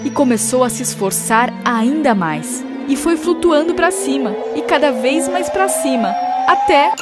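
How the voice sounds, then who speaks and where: A Brazilian woman narrates a children's fable from a script. Her voice sits fairly high, and clear music plays behind her throughout. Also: animated, a little slow.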